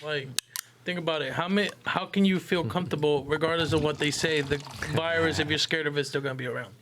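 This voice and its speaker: A young man with a somewhat high voice chats casually on a podcast. He talks in slangy short remarks, and the recording is clearly noisy. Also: fast talker. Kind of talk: casual chat. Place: small indoor room, group around table. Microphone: desk podcast microphone.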